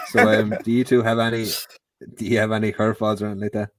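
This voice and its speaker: Irish lad cohosting, low voice, keeps cracking up mid sentence, dry sound. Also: male voice, completely flat delivery, fast, no room sound. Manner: giggly, laughs through his sentences. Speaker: podcast co-host. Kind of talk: casual chat.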